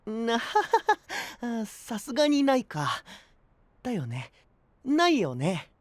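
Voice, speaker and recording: A man's voice: very high voice, huge pitch swings, slow, no echo, exaggerated voice. An actor playing an easygoing, friendly young man with a light, casual manner in an anime audio drama. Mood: puzzled, light.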